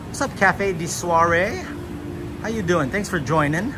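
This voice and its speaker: Male livestream host, fairly high voice, laid-back and chatty, recorded walking outside with noticeable ambient noise. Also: expressive, measured pace. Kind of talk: casual livestream chat, greeting viewers. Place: outdoors, walking along a beach. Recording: noisy outdoor ambience, breezy.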